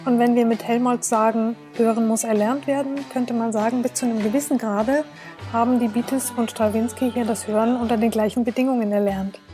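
Articulate woman, slightly high voice, explaining. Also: steady tone, brisk, over music. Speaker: expert commentator. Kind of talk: explanatory, lecture-like talk.

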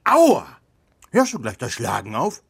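Casting: gruff, kindly old craftsman for children's radio drama; husky, slow, hugely animated delivery. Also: medium pitch, noisy background, heavily acted. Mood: startled, indignant. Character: poor old male puppet maker, gruff but kindly. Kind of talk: German children's radio play acting.

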